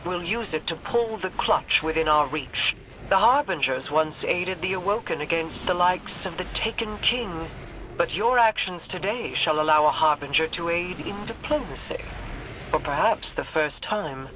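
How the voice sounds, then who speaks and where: An actress playing a commanding queen in a video game, speaking in a regal, formal and measured way. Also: lowish voice, lively intonation, noisy background, natural voice. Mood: composed, commanding.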